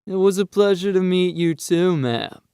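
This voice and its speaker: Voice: medium pitch, moderate pace, warm tone, smooth, no room sound, studio quality, pitched above the performer's own voice, natural voice. A narrator voicing a smug, falsely polite teenage boy who lays on the charm in an audio story. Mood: smooth, polite.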